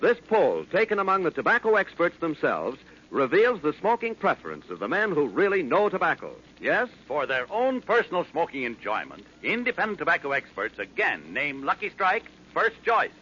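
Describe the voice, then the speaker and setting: A man's voice: a little high, wildly swooping pitch, normal speed, bright, forceful tone, slight background noise. A man announcing a scripted 1940s radio advertisement in an emphatic, declamatory sales delivery. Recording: muffled 1940s radio recording.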